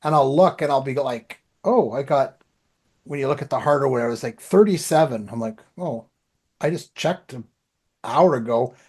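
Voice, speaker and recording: Mid-pitched man in casual podcast chat. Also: moderate pace, a little mellow, husky. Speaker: podcast host.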